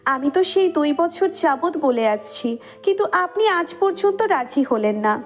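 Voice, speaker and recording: A woman's voice: high voice, animated, a little slow, faint music behind. A woman playing a hurt, pleading and reproachful young woman in love in a Bengali romantic audio story. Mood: hurt, insistent.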